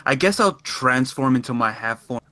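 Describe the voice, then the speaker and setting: A man's voice: mid-range voice, expressive, normal speed, sharp tone. A man chatting casually as a player in a tabletop game.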